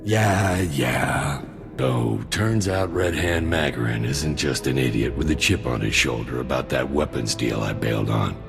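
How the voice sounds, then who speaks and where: An actor playing a cynical, greedy mercenary soldier and arms dealer in a video game, with a gravelly voice and a wry, laid-back manner. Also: male voice, measured pace, over music, exaggerated voice. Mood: wry, grumbling. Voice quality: very deep, gravelly. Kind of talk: video game cutscene dialogue.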